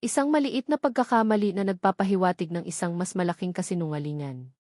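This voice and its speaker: A woman's voice: on the low side, fast, darkish tone, no echo, studio quality. A woman with a Filipino accent reading a scripted dramatic story as its narrator.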